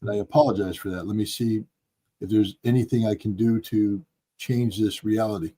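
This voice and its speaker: A man's voice: fairly low pitch, fairly even intonation, moderate pace, mellow. A man joining a podcast as a guest commentator over a video call, talking in an easygoing, self-deprecating way.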